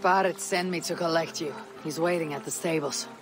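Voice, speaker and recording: A woman's voice: deep voice, fairly even intonation, fairly quick. An actress playing a stoic, blunt Viking warrior woman in a game cutscene, speaking in a terse, dry, matter-of-fact way.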